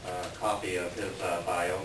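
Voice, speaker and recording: A man's lowish voice carries from a distance in an echoey room with clear background noise. He introduces a play reading as its announcer, reading aloud and pausing often. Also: flat delivery.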